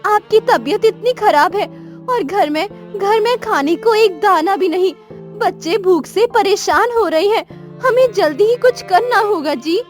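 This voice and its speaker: A devoted, anxious village wife played by a woman narrator speaks very high, pleading and respectful. She encourages her husband in a storybook drama, with faint music behind. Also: expressive, measured pace. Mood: worried. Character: worried, devoted village wife of a poor farmer. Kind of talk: animated moral story dialogue.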